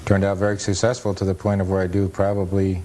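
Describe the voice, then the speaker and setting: A deep-voiced American man with a soft, dark tone talks as an interview guest. He explains things casually and matter-of-factly. A slightly noisy 1980s cable TV recording carries the voice. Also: completely flat delivery, quick pace. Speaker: interview guest, photo historian and author.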